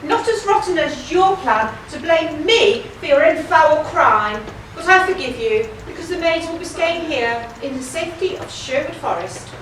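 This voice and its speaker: A woman's voice: sits high, lively intonation, normal speed, hardly any pauses, fairly bright tone. A woman acting a bold, cheeky outlaw hero, declaiming in pantomime style. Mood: confident. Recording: very echoey hall, distant mic, faint noise.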